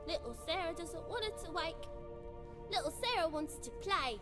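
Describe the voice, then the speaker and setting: A woman's voice: very high voice, animated, moderate pace, music in the background. A woman voicing a playful, teasing little girl sprite in a video game, childish and mischievous. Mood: playful, defiant. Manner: teasing, speaks of herself in third person.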